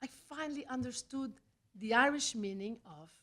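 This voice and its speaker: A woman with a Brazilian accent, a native Portuguese speaker, reads a personal essay aloud at a live event, with deliberate phrasing and dramatic pauses. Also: a little high, bright, forceful tone. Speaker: writer reading own personal essay. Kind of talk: scripted storytelling read aloud at a live event. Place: small theatre, live reading event.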